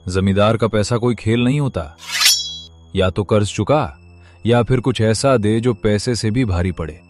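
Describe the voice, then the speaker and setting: A man voices a landlord's henchman in a cartoon moral tale. He has a low voice and a threatening, demanding way of talking. Music plays clearly behind him. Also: moderate pace, close to an everyday voice. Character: menacing landlord's debt-collecting henchman. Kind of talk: Hindi cartoon moral-story dialogue.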